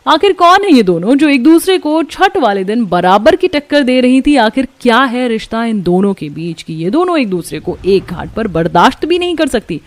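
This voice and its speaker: A woman's voice: fairly high pitch, dry recording. A woman narrating a scripted story in a dramatic storytelling style.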